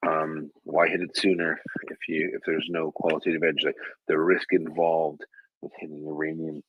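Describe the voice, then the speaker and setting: Male host in his thirties, very low and husky, dry close sound, joking manner. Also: level tone, normal speed, no echo, muffled recording. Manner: joking, teasing. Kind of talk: casual chat.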